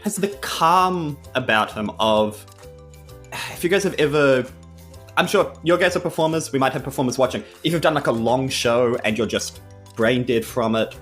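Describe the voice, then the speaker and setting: A man's voice: mid-range voice, moderate pace, a bit bright, faint music behind. Wry man narrating his tabletop character. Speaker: tabletop podcast player. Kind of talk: improvised comic character narration.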